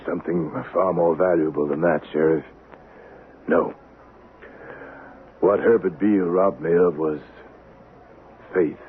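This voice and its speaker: A hard-hearted, dying avenger in a western radio play. His American voice is very low and slow, weighing each word gravely. The old recording is thin and tinny. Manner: grave, deliberate, formal. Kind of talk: radio drama acting. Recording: tinny vintage radio recording, faint hiss.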